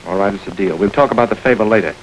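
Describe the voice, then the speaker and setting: An actor playing a smooth, calculating gang boss in a 1930s crime film, calm and commanding. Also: male voice, fairly low pitch, racing, a little hiss or hum, natural voice, poor audio. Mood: calm authority. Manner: cool, controlled, quietly commanding. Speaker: gang chief.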